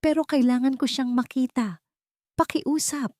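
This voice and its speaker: A woman's voice: high voice, steady tone, unhurried, darkish tone, no echo, clean studio sound, pitched above the performer's own voice. Woman voicing an earnest, imploring young Filipino street vendor. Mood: pleading. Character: humble young male street vendor, devoted and pleading.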